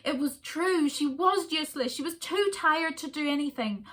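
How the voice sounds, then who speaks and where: A woman reading a children's story aloud in an expressive, dramatic way with deliberate pauses. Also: high voice.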